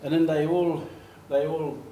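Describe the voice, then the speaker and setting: An older man from Australia, giving an animated storytelling talk at a lectern in a meeting room. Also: fairly high pitch, unhurried, a little hiss or hum. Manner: animated, gestures, acts out quoted voices. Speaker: speaker giving a slide talk. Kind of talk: storytelling presentation. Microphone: far camera. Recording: echoey room, faint ambience.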